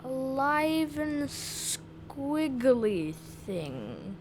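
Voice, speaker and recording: A man's voice: extremely high pitch, big pitch swings, very slow, a little hiss or hum, exaggerated voice. A man playing an eager, curious young boy adventurer in a scripted audio drama, with a wide-eyed, exclamatory manner. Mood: hesitant, curious.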